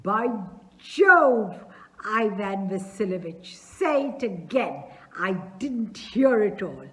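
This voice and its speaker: A woman's voice: animated, slow pace. A woman reading aloud as an effusive, fussy older male landowner who showers his guest with endearments. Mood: overjoyed. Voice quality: gushing, loud and high-pitched endearments.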